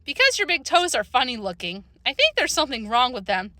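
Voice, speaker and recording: A woman's voice: high voice, huge pitch swings, brisk, sharp tone, dry recording, heavily acted. A woman voicing a thin-skinned, whiny young girl in a children's story, plaintive and easily wounded. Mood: defensive. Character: thin-skinned, whiny young girl called Sandra, crybaby who snipes back.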